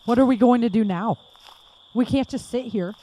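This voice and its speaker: A woman's voice: a little high, moderate pace, slight background noise, natural voice, low-quality recording. An actress playing a tense young woman survivor, speaking urgently. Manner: urgent, expressive.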